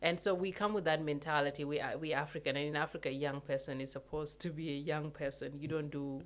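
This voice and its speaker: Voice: medium pitch, steady tone, fast talker. A young adult from Sudan, talking through a casual chat in an earnest way that keeps repeating points, with light laughs. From South Sudan. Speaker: guest in a conversation.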